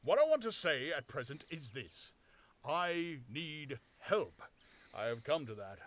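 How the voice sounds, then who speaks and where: A man voicing an invisible man who speaks slowly and deliberately, pressing each point. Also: fairly high pitch, sing-song, fairly bright tone. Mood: insistent. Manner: slow, deliberate, emphatic. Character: calm, insistent invisible man, measured.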